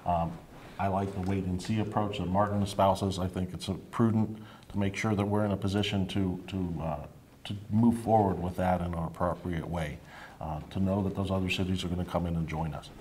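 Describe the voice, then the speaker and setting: Deep-voiced, measured American man. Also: fairly even intonation, darkish tone, a little hiss or hum. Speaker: city council candidate. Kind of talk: answering at a candidates' forum.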